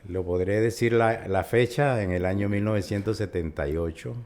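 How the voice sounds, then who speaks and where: A man's voice: fairly low pitch, normal speed, darkish tone. An older man with a Venezuelan accent, a urologist guest recounting his career in a conversational interview. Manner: chatty storyteller, recounts at length.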